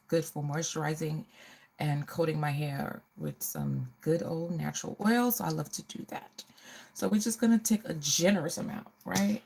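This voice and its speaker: A woman's voice: on the low side, creaky, darkish tone. A middle-aged woman hosting a casual get-ready livestream, chatting to viewers and often repeating her phrases.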